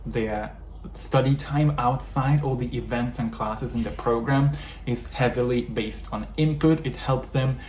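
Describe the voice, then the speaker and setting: A man's voice: mid-range voice, a little slow, a little mellow. Hungarian-accented man coaching English learners. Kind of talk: talking-head teaching video. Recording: echoey room, faint background noise.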